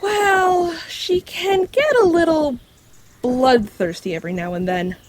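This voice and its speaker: A woman's voice: extremely high pitch, a little slow, a little hiss or hum. A woman playing a clever talking dog who explains myths and reassures friends in an audio drama. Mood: explaining. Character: clever talking dog companion, confident guide on mythology. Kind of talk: acted audio drama dialogue.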